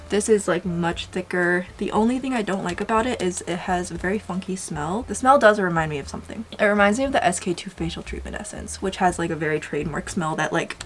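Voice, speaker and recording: Slightly hoarse woman, low and fry-heavy, rambling casual beauty-vlog delivery. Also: slight background noise. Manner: rambling, filler-heavy, chatty. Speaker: beauty vlogger. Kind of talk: casual makeup tutorial chat.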